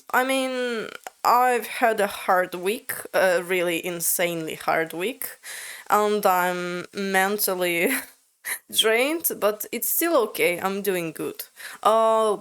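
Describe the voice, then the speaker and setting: Slow-talking woman, expressive. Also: clear, resonant tone. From Latvia. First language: Latvian. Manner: expressive, sudden jumps up in pitch, candid. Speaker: vlogger. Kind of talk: casual vlog narration and chat.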